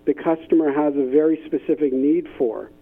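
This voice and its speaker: A man explaining ideas conversationally as an interview guest, with halting phrasing and repeated words, over a phone-like line. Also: a little high, breathy edge. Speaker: interview guest, business innovation consultant. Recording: tinny, band-limited phone-like line.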